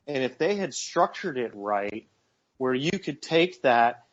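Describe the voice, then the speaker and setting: A man's voice: mid-range voice, very animated, measured pace. Man in his forties, muffled radio talk. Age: 40s. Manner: earnest, repeats words while gathering thoughts. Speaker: radio show co-host. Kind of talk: casual talk radio chat.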